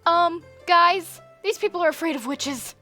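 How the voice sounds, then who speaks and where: A woman's voice: extremely high pitch, a little slow, pushed and bright, soft background music, exaggerated voice. A woman playing an earnest, resourceful teenage magical girl in a fan dub. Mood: anxious, urgent. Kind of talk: fan dub character acting.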